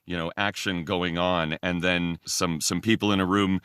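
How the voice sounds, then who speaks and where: Male podcast guest, low-pitched voice, very dry sound, casual and thoughtful with halting phrasing. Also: flat delivery, a bit bright, smooth, no room sound. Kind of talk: casual discussion on a fan podcast.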